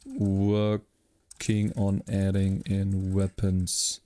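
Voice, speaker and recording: A man's voice: deep voice, monotone, drawn-out words, mellow, dry recording. A man streaming his coding work, talking through his steps and greeting chat viewers by name. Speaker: livestreaming game developer.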